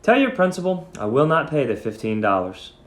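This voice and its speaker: Man playing a quiet, firm old grandfather, echoey. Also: on the high side, very animated, brisk. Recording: echoey room.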